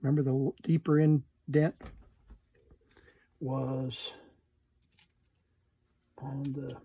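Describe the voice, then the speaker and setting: A man hosting a casual hands-on repair video, unhurried and murmuring to himself as he works. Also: medium pitch, flat delivery, dark tone, breathy edge. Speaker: host of a repair video channel.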